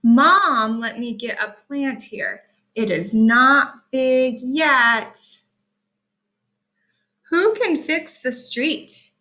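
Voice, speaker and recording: A cheerful, curious little girl tells her picture-book story, read by a woman. The voice is bright and a bit high, with slow, simple, expressive phrases spaced for early readers. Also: full character voice.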